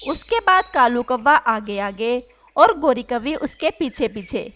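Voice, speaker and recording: A woman with a high-pitched voice narrates a children's animal story. Her delivery is scripted storytelling. A faint layer of noise lies behind the voice. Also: expressive, measured pace, a bit bright.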